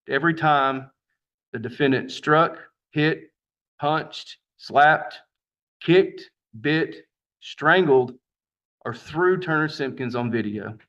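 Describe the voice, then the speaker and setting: A man speaking as a prosecutor, laying out facts formally and in a measured way in a courtroom, on dull lo-fi audio. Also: mid-range voice, a bit bright.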